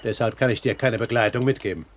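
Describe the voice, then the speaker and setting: An actor playing a self-assured, courteous pirate captain in a German radio play, speaking formally and with measured articulation. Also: male voice, mid-range voice, natural voice. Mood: calm, authoritative. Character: self-assured male pirate captain, commanding yet courteous great-uncle. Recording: 1970s radio recording, faint hiss.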